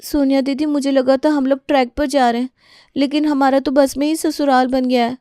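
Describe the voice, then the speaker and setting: A woman narrator voicing a whiny, sarcastic young daughter-in-law in a family story, shrill and pleading. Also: level tone, a little fast, mellow, dry recording, clean studio sound, natural voice. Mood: whining.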